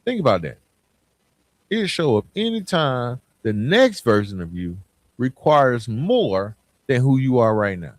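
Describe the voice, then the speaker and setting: A man's voice: mid-range voice, very animated, a little slow, no room sound. An American man hosting a podcast, speaking in a casual motivational monologue, emphatic and colloquial, putting questions straight to the listener. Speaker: podcast host.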